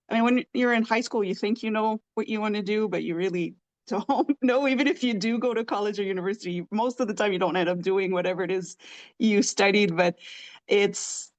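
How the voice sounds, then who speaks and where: A woman hosting an interview, her voice in a middling pitch. She asks long, meandering questions, restarting herself and laughing softly here and there. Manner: rambling, restarts, soft laughs. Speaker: podcast host. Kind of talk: casual interview conversation.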